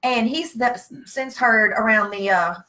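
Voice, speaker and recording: Southern US woman, blunt folksy storyteller, bright forward voice, slightly high, casual live chat. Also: a little slow. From Virginia, United States.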